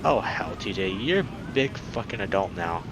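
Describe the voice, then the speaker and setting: A man's voice: low-pitched, big pitch swings, moderate pace. A man voicing a sarcastic, blunt animal friend from a visual novel, with a needling manner. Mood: blunt, mocking. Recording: noisy background behind the voice.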